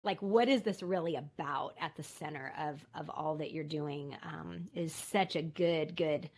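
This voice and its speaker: A woman interviewer asking halting questions and affirming her guest's answers. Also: low-pitched, husky. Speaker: podcast interviewer.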